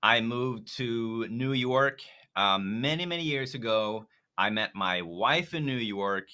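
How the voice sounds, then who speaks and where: Bright-voiced middle-aged man from Sweden. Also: mid-range voice, expressive, takes it slowly, clear, resonant tone. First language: Swedish. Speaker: interview guest, company founder. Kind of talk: conversational interview answers.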